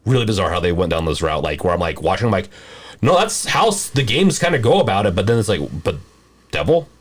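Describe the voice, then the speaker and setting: A man hosting a casual movie discussion podcast, talking in a halting, rambling way and often rephrasing mid-sentence. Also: fairly low pitch, sing-song. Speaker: podcast host.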